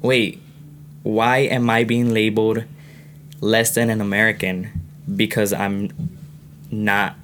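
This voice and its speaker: A young man from California talking earnestly on a podcast, getting animated as he tells his own story. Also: lowish voice, slow pace, long pauses, slight background noise. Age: young adult. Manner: earnest, animated when retelling. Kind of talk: casual podcast conversation.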